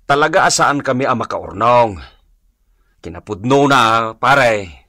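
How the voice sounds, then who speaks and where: Exasperated Ilocano husband, animated voice. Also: male voice, medium pitch, a little slow, no echo, studio quality. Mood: troubled. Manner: complaining, plaintive. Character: exasperated, worried husband burdened by debts. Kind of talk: Filipino radio comedy drama acting.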